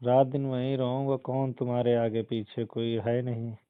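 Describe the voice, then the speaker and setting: Man acting as sweet-talking lover, smooth medium-pitched voice, casual, flirtatious, coaxing, phone-call sound. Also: little pitch movement, normal speed, no room sound, lightly acted. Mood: romantic, coaxing. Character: smooth-talking suitor sweet-talking his lady love. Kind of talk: intimate phone-call roleplay, conversational. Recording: muffled phone call recording.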